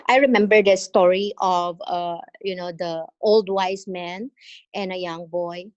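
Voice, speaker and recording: A woman from the Philippines with a Filipino accent, an exchange teacher giving an earnest, encouraging talk in an online meeting, pausing often. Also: lowish voice, steady tone, no echo, dull audio. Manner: earnest and encouraging, with frequent pauses and fillers. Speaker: exchange teacher sharing her experience. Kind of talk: motivational presentation in an online meeting. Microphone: video call.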